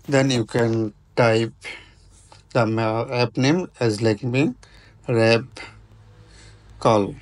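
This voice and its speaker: A male tutorial host talks slowly through step-by-step instructions at a medium pitch. His non-native English comes in short, choppy phrases, with a halting, informal delivery.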